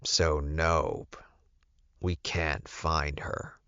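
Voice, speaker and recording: A man voicing a stern, disappointed inner wolf spirit in an audiobook, scolding slowly and deliberately. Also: very deep voice, level tone, a bit bright, clean tone, heavily acted. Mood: grim, dismissive. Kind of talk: audiobook character voice. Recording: muffled, boxy, echoey room recording.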